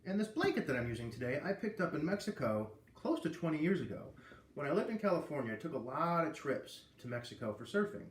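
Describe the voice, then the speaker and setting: A man's voice: on the high side, sing-song, fast talker, roomy sound, slight background noise. A man with an American accent reading a children's book aloud, with casual asides. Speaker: read-aloud reader.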